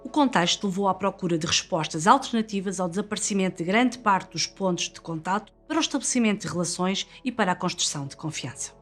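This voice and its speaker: A woman's voice: medium pitch, expressive, fast, hardly any pauses. A woman narrator with a European Portuguese accent delivers a scripted business report. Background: music.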